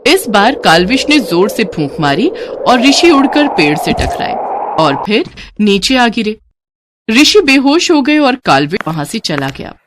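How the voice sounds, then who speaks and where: A woman narrator reading a scripted bedtime tale in an expressive, dramatic storytelling style. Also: on the high side, unhurried, noisy background.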